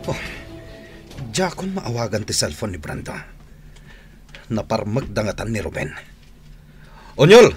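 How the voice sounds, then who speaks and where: Impatient, animated gang boss. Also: male voice, fairly low pitch, unhurried, long pauses, faint music behind. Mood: restless, worried. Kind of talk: Ilocano radio drama acting.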